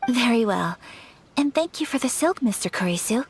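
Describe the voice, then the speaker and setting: A woman voicing a graceful young noblewoman in a game, speaking formally, gently and in a measured way. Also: a little high, lively intonation, very noisy. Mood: polite. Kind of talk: game voice acting.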